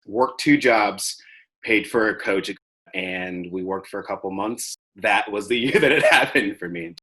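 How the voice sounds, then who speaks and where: A young man with a low but forceful, bright voice talks in an interview. He answers reflectively, as a student describing his own craft. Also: unhurried. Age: young adult. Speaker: acting student.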